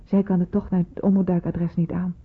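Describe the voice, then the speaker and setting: Low, husky, motherly senior nurse. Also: level tone, fairly quick. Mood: firm, admonishing. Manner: authoritative, admonishing. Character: stern but motherly senior nurse, brisk and persuasive. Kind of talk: Dutch radio play acting. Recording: muffled, phone-like old radio recording.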